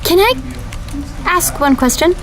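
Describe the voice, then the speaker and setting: An actress playing an earnest young female government video analyst who speaks with calm customer-service politeness. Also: very high-pitched, measured pace, over music. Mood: uneasy. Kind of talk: audio drama acting.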